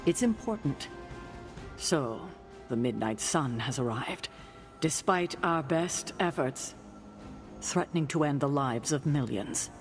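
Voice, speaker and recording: An actress playing a stern, wise female mentor witch who speaks firmly and briskly. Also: low-pitched, animated, soft background music, full character voice. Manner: firm, brisk, commanding. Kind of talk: video game cutscene acting.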